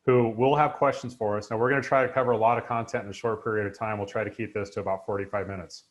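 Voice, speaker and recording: A man's voice: on the low side, steady tone, fast. A man in his forties hosting a live webinar over a video call, upbeat and full of fillers. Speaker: host. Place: home room indoors, video call.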